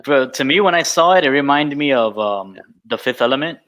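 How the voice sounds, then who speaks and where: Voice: mid-range voice, animated, fairly quick, a bit bright. A podcast co-host chatting casually in conversation.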